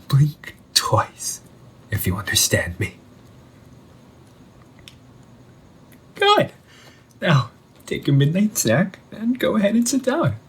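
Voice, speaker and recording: A man playing an undead older brother returned from the sea, talking in a hushed, halting, teasing way in an audio roleplay. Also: fairly high pitch, huge pitch swings, slightly rough, heavily acted. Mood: hushed, playful menace. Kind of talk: audio roleplay monologue to the listener.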